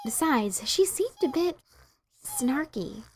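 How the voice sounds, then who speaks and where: Studious female cartoon pony acted by a woman: high-voiced, dry, matter-of-fact lecturer, faint noise. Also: huge pitch swings, slow, darkish tone, exaggerated voice. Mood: exasperated, lecturing. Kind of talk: dramatic reading of a cartoon fan story.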